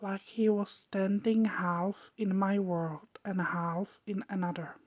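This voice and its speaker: A woman narrating a story as ASMR in a soft, hushed whisper, breaking it into short, deliberate phrases. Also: mid-range voice, fairly even intonation, highs cut off.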